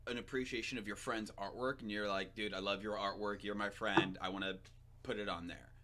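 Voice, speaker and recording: A man's voice: lowish voice, fairly even intonation, a little fast, very noisy. A man hosting a casual interview, asking questions and laughing along with his guest. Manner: friendly interviewer, laughs along, many short backchannels. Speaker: host.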